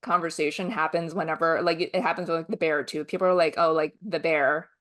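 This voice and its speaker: A woman co-hosting a podcast in loose, candid chat. Her voice sits low for a woman, and she talks bluntly, swears offhandedly and scatters "like" through her sentences. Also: fairly even intonation, fast, a bit bright. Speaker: podcast co-host.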